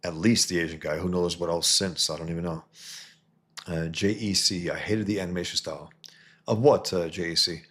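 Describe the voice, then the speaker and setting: A man from New York hosting a casual livestream, reading viewer comments and answering in a halting way that restarts and repeats words. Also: low voice, steady tone, fairly bright tone, husky. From New York, USA. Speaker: livestream host and comic artist.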